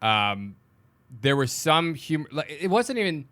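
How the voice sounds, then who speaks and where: A man's voice: on the high side, very animated, measured pace, fairly bright tone. A man chatting casually as a podcast co-host, informal and emphatic, free with swearing. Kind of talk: casual chat.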